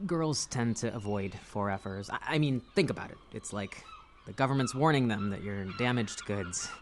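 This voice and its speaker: A man's voice: fairly low pitch, moderate pace, a little hiss or hum, close to an everyday voice. An actor playing a kind, self-deprecating young man in an audio drama, hesitant and rambling as he talks. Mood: wistful, confiding. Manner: rambling, hesitant, nervous chuckles. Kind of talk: audio drama dialogue acting.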